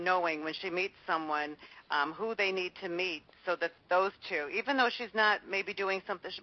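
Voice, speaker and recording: A woman hosting a casual chat, rambling with frequent fillers. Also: fairly low pitch. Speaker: host. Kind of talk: casual conversation. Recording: dull lo-fi audio.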